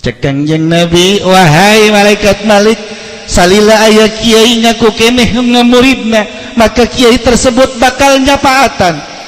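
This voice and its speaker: A man's voice: high voice, fairly even intonation, measured pace. Reverberant male preacher telling a sermon story. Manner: theatrical, switching between narration and heightened quoted speech. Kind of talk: storytelling sermon to a congregation, acting out dialogue.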